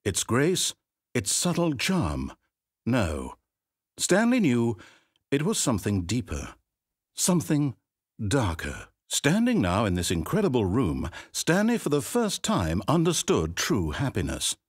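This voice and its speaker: An actor voicing a game's male narrator, speaking in a measured, wry and theatrical way. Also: medium pitch, wildly swooping pitch, breathy edge, no room sound, exaggerated voice. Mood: gushing. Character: dry, wry male storybook narrator of a game. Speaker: game narrator. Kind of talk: scripted narration. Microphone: studio microphone. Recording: dry close studio recording.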